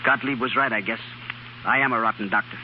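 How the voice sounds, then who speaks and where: A man plays a sincere young country doctor in vintage radio drama. His mid-pitched voice is plain-spoken and slightly hesitant, carried on a dull 1930s broadcast with faint hiss. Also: fast, natural voice. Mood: bitter, despairing. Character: earnest young male country doctor, sincere, self-doubting. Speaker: radio actor.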